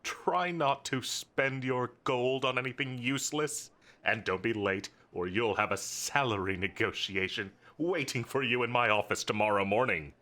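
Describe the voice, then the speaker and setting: A man's voice: mid-range voice, moderate pace, pushed and bright, natural voice. A man playing a confident, teasing villain commander in a roleplay audio drama, drawling and flirtatious with a bossy edge. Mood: stern, commanding. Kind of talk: scripted roleplay audio drama addressed to the listener.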